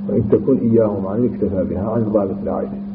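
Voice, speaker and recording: A man teaching a classical grammar lesson, explaining slowly with restarts and repetitions and chanting verse lines. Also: fairly low pitch, fairly even intonation. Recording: tinny phone-like line with background hum.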